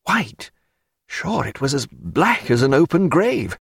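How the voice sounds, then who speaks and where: Man playing a dry, courteous, testy castaway gentleman. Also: a little high, huge pitch swings, takes it slowly, no room sound, pitched above the performer's own voice, heavily acted. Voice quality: croaky when weak. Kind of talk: audiobook character voice.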